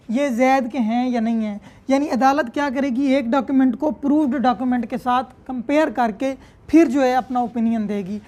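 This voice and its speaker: Pakistani lady teacher, high voice, explaining stuff step by step like a lecture. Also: darkish tone. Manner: didactic, frequent check-in tags. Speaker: law lecturer. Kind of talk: explanatory lecture, reading out and paraphrasing legal text.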